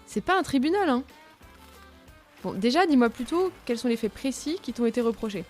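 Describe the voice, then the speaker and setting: A woman playing a caring, frank robot in an audio drama, conversational and gently probing. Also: on the high side, sing-song, fairly quick, soft background music. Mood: mildly reproving. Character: caring, frank female robot being oiled and serviced. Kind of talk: French audio drama dialogue.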